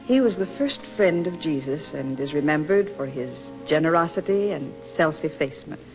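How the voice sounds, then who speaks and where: A woman's voice: low voice, lively intonation, moderate pace. Kindly consoling older lady friend, old radio play, voice swoops expressively, music behind, dull recording. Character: motherly, gently consoling older female friend. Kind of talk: 1940s radio drama acting.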